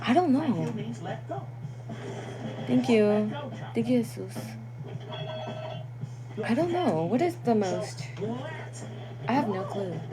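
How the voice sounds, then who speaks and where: A woman's voice: fairly high pitch, lively intonation, slow, pauses often, poor audio. A young woman chatting casually on a phone livestream; English is not her first language, and she laughs often and sometimes stumbles over words. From Guatemalan family.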